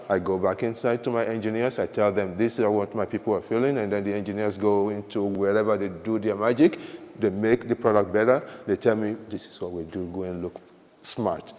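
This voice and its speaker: A man's voice: fairly low pitch, darkish tone. A man co-presenting at a conference, teasing his partner with playful banter. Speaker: solutions architect, co-presenter. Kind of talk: joking conference talk. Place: large conference hall. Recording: reverberant hall.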